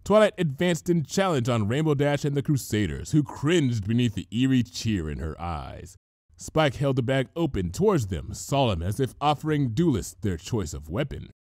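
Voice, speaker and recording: A man reading a comic story aloud as narrator, in an expressive, measured storytelling manner. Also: mid-range voice, no room sound, studio quality. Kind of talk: dramatic scripted fan fiction reading.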